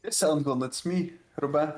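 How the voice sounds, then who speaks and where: A man's voice: a little high, moderate pace, a little mellow, echoey room, soft background music. A man casting a live game in a casual play-by-play way, heard through a voice call. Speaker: esports caster. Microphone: new microphone, heard through a voice call.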